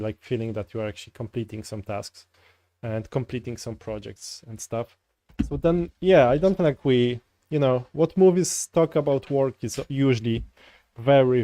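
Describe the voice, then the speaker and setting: A man's voice: medium pitch, no echo. A man from Poland with a Polish accent, chatting casually and rambling with viewers while he codes on a livestream. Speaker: coding livestreamer. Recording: faint music in background. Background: soft folk music.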